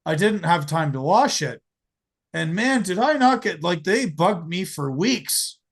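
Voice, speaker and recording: An American man in his forties hosts a relaxed podcast chat, his voice a touch higher than most men's and roughened by a gravelly edge. He tells stories in an animated way, swears freely and trips over repeated words as he goes. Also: measured pace. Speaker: podcast host. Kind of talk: casual storytelling chat.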